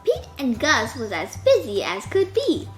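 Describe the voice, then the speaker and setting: A woman narrates a picture book for children. Her voice is on the high side and very animated, with an unhurried, deliberate delivery over soft music. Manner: expressive, deliberate. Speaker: children's storytime reader. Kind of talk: read-aloud storybook narration.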